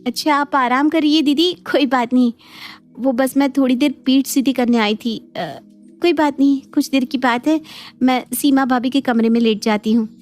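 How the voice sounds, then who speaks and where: Lady doing young cartoon daughter-in-law, very high voice, polite but secretly fed up. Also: fairly even intonation, moderate pace, darkish tone, close to an everyday voice. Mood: polite, evasive. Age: young adult. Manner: deferential on the surface, exasperated. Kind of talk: Hindi cartoon family drama acting. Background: faint background music.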